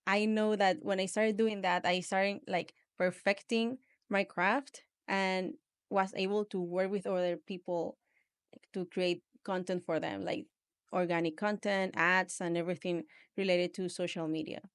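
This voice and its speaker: A woman from Venezuela with a Venezuelan Spanish accent, a studio founder speaking as a guest in a casual interview, relaxed and loose, with lots of "like" fillers. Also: fairly low pitch, a little slow, clear, resonant tone. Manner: relaxed, many "like" fillers, plain non-native phrasing. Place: small podcast studio.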